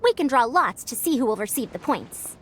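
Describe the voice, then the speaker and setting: A woman's voice: fairly high pitch, very animated, fairly quick, fairly bright tone, breathy edge, full character voice. A woman voicing a proud, self-assured senior scholar in a video game, formal and magnanimous. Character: proud female senior scholar, youthful-sounding, brisk, magnanimous madam.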